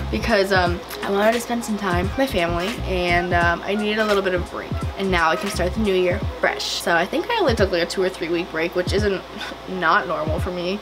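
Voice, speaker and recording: A young woman in her twenties, a little hoarse and raspy from a cold, chatting casually and rambling through a makeup tutorial in a small home studio. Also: medium pitch, low-quality recording. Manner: chatty, rambling, giggly asides. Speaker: makeup vlogger.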